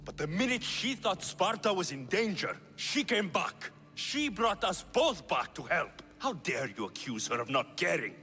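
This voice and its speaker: Defiant young warrior, forceful and animated. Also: male voice, high-pitched, normal speed, few pauses, heavily acted. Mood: defiant anger. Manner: intense, cocky. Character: defiant, hot-blooded young Spartan mercenary. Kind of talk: dramatic video game acting. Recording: long hall reverb, music underneath.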